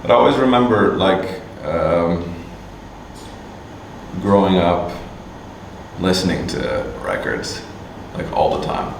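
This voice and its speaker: A man from Canada answering interview questions casually, halting with many fillers and restarts. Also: low voice, sing-song, vocal fry. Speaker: musician and agency owner. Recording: distant mic, echoey room, faint hum.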